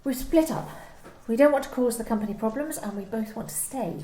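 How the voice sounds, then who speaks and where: A woman's voice: fairly high pitch, a little fast, mellow, reverberant, close to an everyday voice. A woman playing a timid, hesitant office worker. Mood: subdued. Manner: hesitant, meek, apologetic. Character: timid young pregnant female office worker. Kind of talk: amateur radio play acting. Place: home during lockdown.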